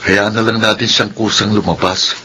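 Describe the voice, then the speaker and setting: Bright-voiced, dutiful son. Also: male voice, fairly low pitch, level tone, moderate pace, slight background noise, low-quality recording. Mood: affectionate. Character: dutiful grown son, affectionate and reassuring. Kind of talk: Filipino radio drama acting.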